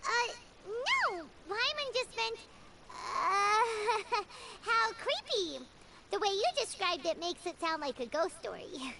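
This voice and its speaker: Game fairy companion: childlike, squeaky, excitable, extremely high with swooping intonation. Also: female voice, slow, noisy background, heavily acted. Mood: nervous. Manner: exclamatory, chatty. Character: tiny excitable childlike fairy companion, female, speaks in third person. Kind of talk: video game voice acting.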